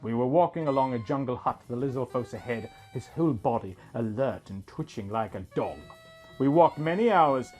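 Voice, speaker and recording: A man narrates a scripted first-person tale in a husky voice. His delivery is animated and rises and falls freely, with music playing behind him. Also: medium pitch. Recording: music bed under the voice.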